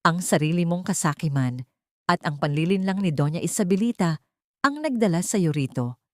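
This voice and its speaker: A woman voicing a gentle, compassionate housemaid heroine in an audio drama, soft, calm and pleading. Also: on the low side, fairly quick, no room sound, clean studio sound, natural voice. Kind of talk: Tagalog audio drama acting.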